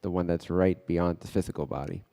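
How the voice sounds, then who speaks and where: A man leading a guided meditation in a measured, halting way, speaking in short phrases with pauses between them. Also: sits low, steady tone, creaky, dark tone. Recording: long hall-like reverb.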